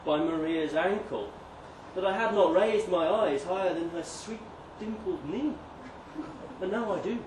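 Slow, echoey man reading a story theatrically. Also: a little high. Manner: expressive, dramatic, with emphatic swells and hushed drops. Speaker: story reader. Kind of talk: theatrical live reading of a comic historical monologue. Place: live reading event in a venue. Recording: echoey live room, distant mic, noisy ambience.

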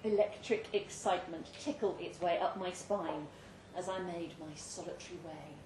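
A British woman reading a literary short story aloud at a live event, with expressive, measured and dramatic phrasing. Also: lowish voice. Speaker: story reader. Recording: roomy live venue with faint background noise.

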